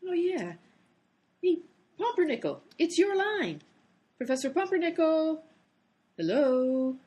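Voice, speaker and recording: A woman's voice: high-pitched, big pitch swings, takes it slowly, a little mellow, full character voice. A woman playing an exasperated female character in a comic detective radio play. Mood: brisk, impatient. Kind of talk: comedy radio play acting.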